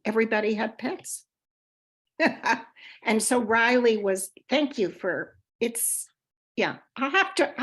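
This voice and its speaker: A woman storyteller on a video call, answering feedback in a hesitant, halting way and laughing often. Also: fairly high pitch, slightly rough. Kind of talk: casual feedback chat.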